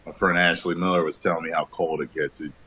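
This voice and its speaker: A man's voice: sits low, flat delivery, fast, bright, forceful tone. A man hosting a casual chat, brash and teasing, with loose swearing. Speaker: host.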